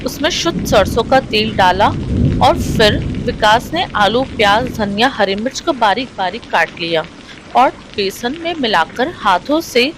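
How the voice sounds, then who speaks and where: A woman's voice: a little high, expressive, measured pace. A woman reading scripted narration for a moral storybook tale. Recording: rain, thunder and vehicle noise behind.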